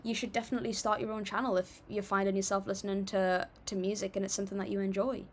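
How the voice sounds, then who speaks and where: A woman's voice: medium pitch, level tone, fast, a little hiss or hum. Young woman, livestream host, casual chat, reads comments aloud, friendly and apologetic. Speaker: livestream music reactor.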